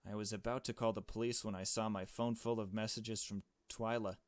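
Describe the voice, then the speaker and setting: A man's voice: lowish voice, level tone, brisk, no room sound. A man playing a visual novel, reading the story aloud and adding his own casual commentary. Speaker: let's player.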